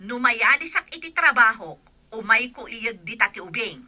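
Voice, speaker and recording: A woman's voice: fairly high pitch, lively intonation, moderate pace, bright, forceful tone, heavily acted. A woman acting a concerned, firm aunt in a radio drama. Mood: insistent. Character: concerned, firm aunt looking after child.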